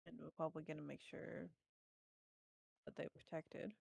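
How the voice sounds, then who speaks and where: A tabletop roleplaying player talks casually, planning with the group. The voice has a gravelly fry in it and sits at a middling pitch. The sound is close and dry. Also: level tone, normal speed, a little mellow, no echo.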